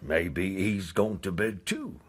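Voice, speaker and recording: An actor playing an earthy, leering old sea captain in a radio drama, talking in a colloquial, teasing, insinuating way. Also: male voice, low voice, big pitch swings, takes it slowly, slightly rough, exaggerated voice. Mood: sly, teasing.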